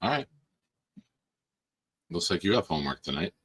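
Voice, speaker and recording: Hoarse guy hosting a stream, low bright voice, teasing and bossy, yells now and then. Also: big pitch swings, normal speed. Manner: teasing, bossy banter with sudden loud outbursts. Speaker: podcast host. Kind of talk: casual live-stream chat.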